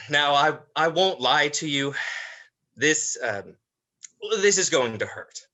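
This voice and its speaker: A man playing a calm, creepy captor in a dramatized horror script reading, speaking in a halting, measured way. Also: on the high side, heavily acted. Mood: coldly apologetic. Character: calm, creepy man preparing to hurt a captive.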